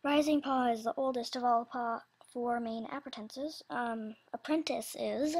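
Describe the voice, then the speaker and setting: High, husky young-sounding woman, hesitant and rambling. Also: steady tone, unhurried, darkish tone. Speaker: animator describing characters for voice auditions. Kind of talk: casual, loosely scripted audition announcement.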